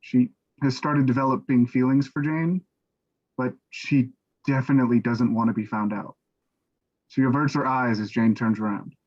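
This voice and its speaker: A man's voice: medium pitch, fairly even intonation, normal speed, darkish tone. A man reading stage directions as scripted narration for a play, on a dull microphone.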